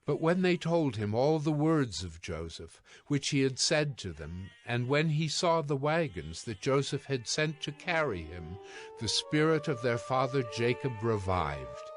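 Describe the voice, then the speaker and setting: Male scripture narrator over soft music. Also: mid-range voice, sing-song, measured pace. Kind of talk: scripted dramatized Bible narration. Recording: music behind the voice.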